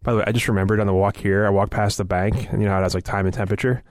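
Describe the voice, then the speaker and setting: Brief: man podcast co-host, quick wisecracking banter, dry close mic. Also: fairly low pitch, fairly even intonation, no room sound. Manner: wisecracking, joking.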